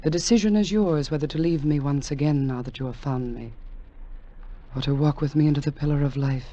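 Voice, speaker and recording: An ancient, undying queen of old radio drama speaks in a dark, soft-edged voice. She is solemn and commanding, full of formal longing. The old recording is muffled and lo-fi. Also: low voice, fairly quick. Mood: tender, commanding. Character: ageless, veiled immortal queen, commanding and lovesick. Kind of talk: 1940s radio drama acting.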